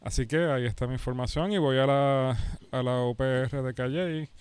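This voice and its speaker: A man's voice: medium pitch, fairly even intonation, normal speed, a little mellow, smooth, dry recording. A man in his forties with a Puerto Rican Spanish accent, answering casually in an interview and reminiscing in short, piece-by-piece phrases. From Puerto Rico. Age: 40s. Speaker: pastor and podcast host being interviewed.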